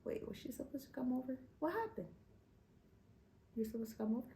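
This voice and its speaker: A woman's voice: sits high, expressive, a little fast, vocal fry, mellow. A young woman from Kenya, telling a personal story to camera in a chatty way, often laughing and saying "you know". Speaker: vlogger.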